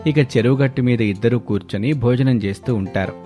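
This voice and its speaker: Male narrator, dark mellow tone, scripted storytelling, faint music bed. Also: medium pitch, a little fast.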